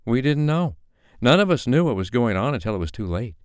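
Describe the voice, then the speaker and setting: Male narrator as haughty woman, audiobook character, raised pitch, irritated, dismissive, clean sound. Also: sing-song, brisk, smooth, dry recording, clean studio sound, lightly acted. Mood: gossipy, scornful. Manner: irritated, scornful. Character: haughty, catty statuesque woman, sharp and dismissive.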